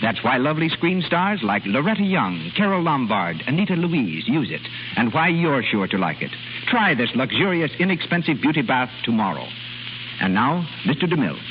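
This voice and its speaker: A man's voice: medium pitch, big pitch swings, moderate pace, music in the background. An American man announcing and selling in polished, persuasive 1930s radio style. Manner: polished, persuasive sales delivery. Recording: muffled, noisy 1930s radio recording.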